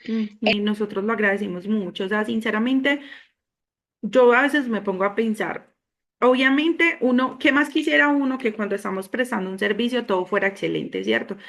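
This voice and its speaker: A woman who works for the agency and interviews a participant. She is encouraging and expressive. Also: a little high, normal speed, creaky.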